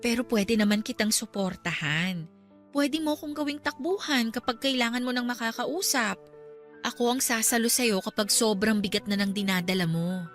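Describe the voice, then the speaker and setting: A woman's voice: fairly high pitch, moderate pace, natural voice. A Filipino actress in a radio drama playing a caring, exasperated young girlfriend, nagging yet tender. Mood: concerned. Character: caring, exasperated young girlfriend looking after her man. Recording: music behind.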